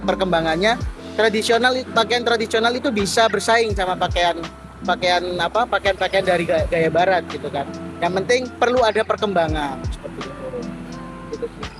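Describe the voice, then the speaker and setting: A man in his twenties with an East Javanese Indonesian accent, answering interview questions casually and haltingly as he searches for words. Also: high voice. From Probolinggo, East Java, Indonesia. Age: 20s.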